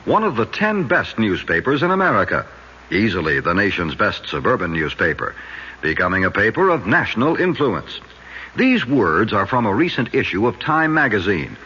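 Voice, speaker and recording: A man's voice: lowish voice, sing-song, normal speed, bright, forceful tone, breathy edge. A man reading a scripted 1970s radio advertisement in a polished, emphatic way. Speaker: commercial announcer. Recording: muffled vintage radio, music bed.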